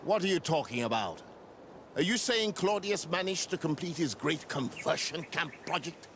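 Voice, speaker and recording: A man playing a zealous, defiant inquisitor villain in a video game, with a forceful voice. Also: on the high side, lively intonation, normal speed, a little hiss or hum. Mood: incredulous. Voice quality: sharp, forceful. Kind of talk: video game cutscene acting.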